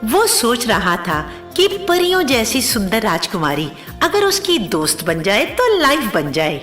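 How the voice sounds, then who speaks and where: Woman, cartoon story narrator, highish voice, expressive melody, faint music underneath. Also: unhurried.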